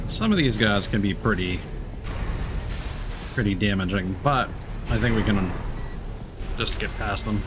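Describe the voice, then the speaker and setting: A man's voice: lowish voice, animated, unhurried, pauses often, noisy background. A man giving casual let's play commentary as a video game streamer.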